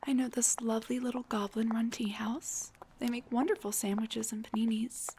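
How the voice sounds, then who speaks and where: A woman playing a shy, sweet, flirty dullahan on a date, soft, breathy and hesitant, in an intimate audio roleplay. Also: a little high, steady tone, moderate pace, a little hiss or hum, lightly acted. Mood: shy, hopeful.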